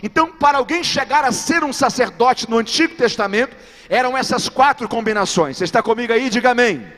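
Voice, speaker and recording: A man with a strikingly high voice delivers a sermon, counting off his points and calling out questions to the congregation. His voice rings through a large church sanctuary with a long, trailing reverb, as heard on a live church stream. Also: a little fast, a bit bright. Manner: preaching emphatically with repeated rhetorical questions to the congregation. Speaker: pastor.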